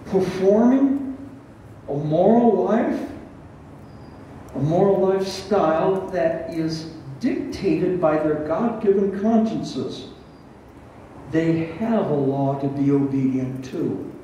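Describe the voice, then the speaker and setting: An older man in his sixties teaches scripture from the front of a very echoey room, sounding a little distant. His voice sits high for a man and is soft-edged and mellow. He explains deliberately, posing questions as he goes. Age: 60s. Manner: deliberate, didactic, with rhetorical questions. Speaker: pastor teaching a Bible study. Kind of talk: expository sermon, reading and explaining scripture. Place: barn hall with a stage, indoors. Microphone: headset. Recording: roomy, echoing, distant sound with faint noise.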